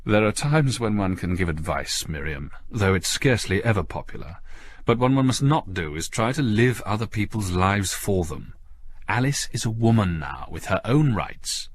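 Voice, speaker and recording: A man voicing a middle-aged husband and father in an audiobook story, calm, resigned and gently reasoning. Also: on the low side. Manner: measured, soothing.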